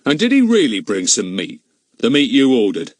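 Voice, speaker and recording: A man voicing a pompous, slow-witted middle-aged village policeman who blusters and is easily flustered. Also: mid-range voice, wildly swooping pitch, no room sound. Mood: blustering. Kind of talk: audiobook character voice.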